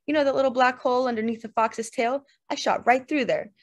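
A woman voicing a loud, drunk, volatile man in dramatized audiobook dialogue. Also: sits high, steady tone, fairly quick, voice pushed up. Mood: boastful.

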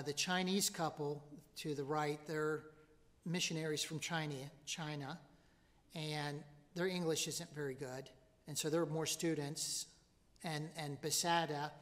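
A middle-aged man tells of his mission work from a church podium, in a somewhat high voice. He talks in a loose, conversational way, often restarting his sentences. A long reverb from the hall trails his words. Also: fairly bright tone. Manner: conversational, with many restarts and asides. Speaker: missionary speaker. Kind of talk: church talk with slides. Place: church sanctuary. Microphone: podium mic.

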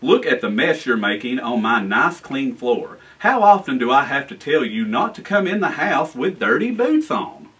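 A man's voice: mid-range voice, big pitch swings, normal speed, hardly any pauses, a bit bright, distant mic. A man voicing an exasperated mother in a children's storybook, snappy and scolding.

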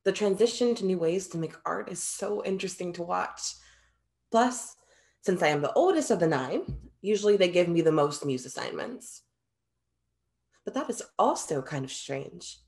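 A woman playing a haughty, ageless muse in a theatrical monologue for a staged reading. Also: fairly low pitch. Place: plain indoor room, video call.

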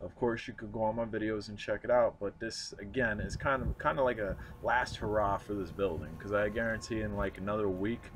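A man's voice: lowish voice, fairly even intonation, fairly quick, few pauses, slight background noise, poor audio. Earnest man narrating at a snowy site. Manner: earnest, reflective, many fillers and restarts. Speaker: urban explorer vlogger. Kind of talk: casual walk-and-talk narration. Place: outdoors at a snowy demolition site.

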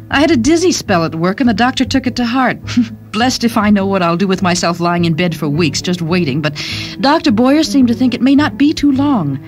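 A woman's voice: mid-range voice, close to an everyday voice. A woman playing a young, affectionate mother who reads out her chatty, wry letters in a dramatized short story. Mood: bright, chatty. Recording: studio reading over background music. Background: music.

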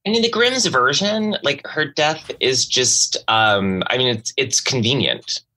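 A man in a casual literary discussion, speaking haltingly and often restarting his thoughts as a panelist. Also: medium pitch, lively intonation, sharp tone. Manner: halting, searching, self-interrupting with many likes.

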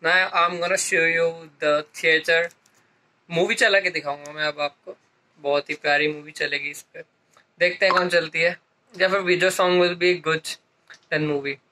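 Upbeat young man, bright high voice. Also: steady tone, slow pace, rough recording. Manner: upbeat, mixes English into Hindi. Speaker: vlogger showing his shop. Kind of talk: casual vlog chat. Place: inside a small electronics shop. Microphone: phone at arm's length.

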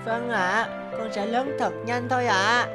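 A small baby bird from a cartoon, played by a woman, talks in a fairly high, childlike voice. The little one is stubborn, whiny and distrustful, with clear music in the background. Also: fairly even intonation, unhurried, close to an everyday voice. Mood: cheerful. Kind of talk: cartoon dubbing. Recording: music behind the voice.

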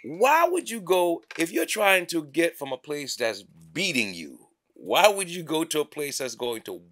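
A middle-aged man co-hosting a casual chat show, animated and incredulous, asking the same question again and again. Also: fairly high pitch, unhurried. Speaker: podcast co-host. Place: small studio set, indoors.

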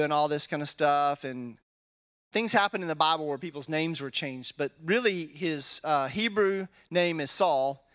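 A man in his forties with a Texas accent, teaching a Bible passage in a relaxed, conversational way and often checking in with "right?". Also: on the high side. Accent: Texan. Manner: casual, tags statements with "right?", thinks aloud with fillers. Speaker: pastor. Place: wood-paneled church hall, indoors. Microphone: handheld microphone.

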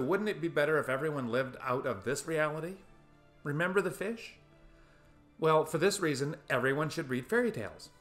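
A man's voice: medium pitch, a bit bright. A man in his fifties giving a scripted, essay-like talk to camera, asking rhetorical questions with playful emphasis. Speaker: video essayist. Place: small room at home, indoors.

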